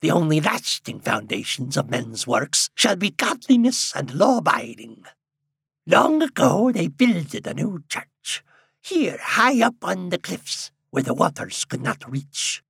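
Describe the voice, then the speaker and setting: A man voicing a frail, excitable, pious old seaside man in a story, with archaic rustic speech and drawn-out exclamations. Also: high-pitched, gravelly, breathy edge, no echo, exaggerated voice.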